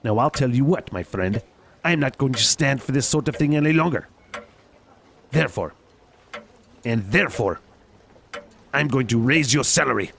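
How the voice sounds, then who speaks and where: A man's voice: medium pitch, expressive, moderate pace, gravelly, pauses often, a bit bright. A man playing an elderly reformed miser in an audio drama, theatrical, exclamatory and giddy with joy. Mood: mock stern. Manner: theatrical, exclamatory, bursting into laughter.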